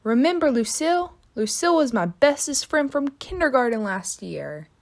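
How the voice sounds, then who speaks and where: A woman voicing an excitable, dramatic first-grade girl in a storybook, gushing with eager, childlike exclamations. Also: high voice, moderate pace, voice pushed up. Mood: joyful, shouting. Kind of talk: children's storybook read-aloud.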